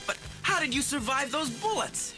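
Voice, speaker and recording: A man playing an earnest, excitable teenage boy hero in a dramatized storybook read-along, eager and emphatic. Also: extremely high pitch, normal speed, over music. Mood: alarmed.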